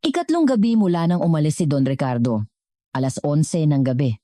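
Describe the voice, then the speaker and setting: Very low-voiced Filipino woman storyteller. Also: lively intonation, a little fast, dark tone, no echo, studio quality. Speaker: story narrator. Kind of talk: scripted dramatic story narration.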